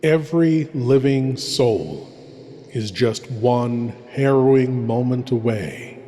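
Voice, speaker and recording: A man hosting a horror show with theatrical scripted narration, ominous and deliberate. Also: mid-range voice, dark tone. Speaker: host. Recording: long cavernous reverb.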